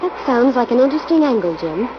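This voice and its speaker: Inquisitive, bright-minded radio-drama assistant. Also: female voice, fairly high pitch, sing-song, quick pace. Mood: intrigued. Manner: curious, conversational. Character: inquisitive, level-headed young female detective's assistant. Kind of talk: 1940s radio acting. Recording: muffled, noisy old radio recording.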